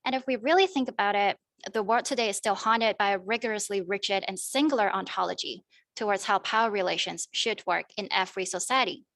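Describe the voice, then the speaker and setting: A woman's voice: mid-range voice, fairly quick, fairly bright tone, no echo. Young woman presenting, dry close sound. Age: young adult. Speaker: student panelist. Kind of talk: prepared academic presentation.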